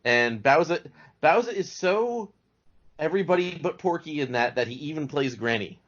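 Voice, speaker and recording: A man's voice: fairly high pitch, animated, moderate pace, a bit bright. A man speaking as a podcast guest in casual, knowledgeable fan chat. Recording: dull lo-fi voice recording.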